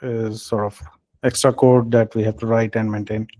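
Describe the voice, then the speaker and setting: A man leading a technical team call, speaking in a halting, hesitant way with filled pauses. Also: fairly low pitch, flat delivery, dark tone, dry recording. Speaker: meeting lead.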